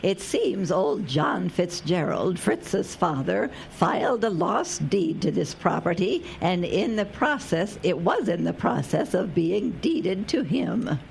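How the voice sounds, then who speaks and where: A woman's voice: on the low side, big pitch swings, slow pace, gravelly, hardly any pauses, a little mellow, a little hiss or hum, exaggerated voice. A woman acting in a radio drama as a kindly, knowledgeable older local who explains things in a folksy, matter-of-fact way. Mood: explaining.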